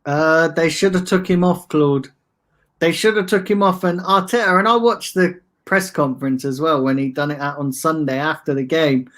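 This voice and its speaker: A man's voice: a little high, moderate pace, fairly bright tone. A man with a soothing voice chatting casually as a guest about football, laid-back and hesitant, with restarts and fillers. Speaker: guest, fan channel streamer.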